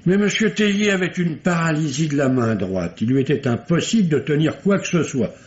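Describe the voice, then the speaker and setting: An actor playing a solemn, wordy male doctor in a vintage radio mystery, with pompous, deliberate, literary delivery. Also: a little high, animated, close to an everyday voice. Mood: grave, precise. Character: formal, verbose, solemn male country doctor. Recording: dull, noisy old radio recording.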